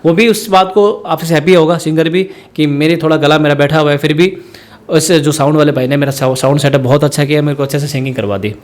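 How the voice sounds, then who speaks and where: Guy rattling off sound gear tips fast, kinda high voice, chatty tutorial. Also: fairly even intonation. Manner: rambling, explanatory, addresses viewers as friends. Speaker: musician teaching sound setup. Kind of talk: spoken tutorial.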